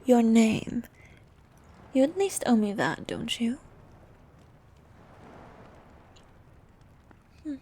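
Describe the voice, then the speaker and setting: A woman voicing a vain, flirtatious sea queen monster in an ASMR roleplay, soft and half-whispered, with a regal, teasing manner. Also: a little high, sing-song, husky, full character voice. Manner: regal, teasing, slow and coaxing.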